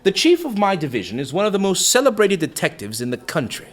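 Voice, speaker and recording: Earnest police detective, swooping pitch. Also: male voice, huge pitch swings, quick pace, heavily acted. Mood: grave, zealous. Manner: formal, composed, emphatic. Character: earnest, zealous cultured plainclothes police detective. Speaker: actor in a radio play. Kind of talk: dramatic radio dialogue.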